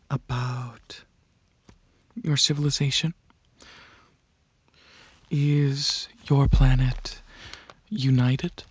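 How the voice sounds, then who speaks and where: Slow, husky, soft-spoken man in ASMR roleplay. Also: mid-range voice, dull audio. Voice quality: soft, breathy, slipping into whispers. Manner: hesitant, pausing, clinical questioning. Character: calm alien dragon examiner questioning a human abductee.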